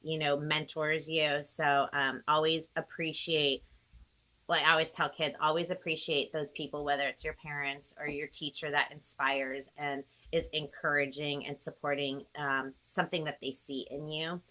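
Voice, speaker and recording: A woman's voice: low-pitched, level tone, moderate pace, sharp tone, poor audio. A woman chatting casually and appreciatively as the host of a music interview. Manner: gushing, appreciative. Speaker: host and singer-songwriter. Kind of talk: casual video-call chat.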